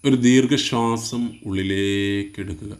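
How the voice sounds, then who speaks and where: A man with a Malayali accent leading a guided meditation, giving slow, soothing instructions in short phrases with pauses. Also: fairly low pitch, clean tone.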